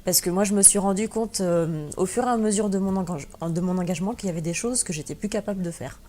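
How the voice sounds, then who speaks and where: A woman's voice: medium pitch, fast, warm tone. A young woman from France answering interview questions as a guest, hesitant, with many fillers and restarts. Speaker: guest blogger and teacher.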